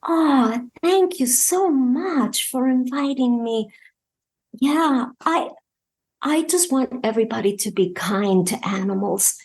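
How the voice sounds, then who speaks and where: A woman's voice: high voice, lively intonation, takes it slowly, darkish tone, voice pushed up, full character voice. A woman voicing a friendly, earnest female rescue pit bull who speaks in a sweet, pleading, kindly way.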